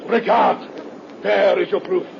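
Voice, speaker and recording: An actor playing a stern, relentless vampire-hunting doctor in a grave, theatrical 1930s radio horror play. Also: male voice, high-pitched, expressive, slow pace, vocal fry, full character voice. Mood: grim, solemn. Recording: dull, noisy 1930s radio recording.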